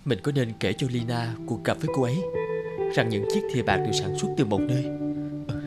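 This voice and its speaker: A man playing a gentle, hesitant married businessman with a guilty streak in an animated drama. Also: medium pitch, fairly quick, close to an everyday voice. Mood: uneasy. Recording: music under the voice.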